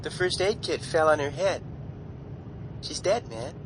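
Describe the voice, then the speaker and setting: An actor playing a blunt, excitable bomber crewman in a satirical radio play, with an urgent manner. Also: a little slow. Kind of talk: satirical radio comedy drama. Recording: aircraft engine rumble behind.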